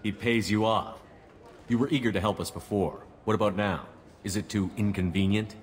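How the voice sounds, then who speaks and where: An actor playing a steady, resolute male military commander in a video game, speaking in a terse, controlled, direct way. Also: lowish voice, normal speed, in a large hall, slight background noise, close to an everyday voice. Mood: firm.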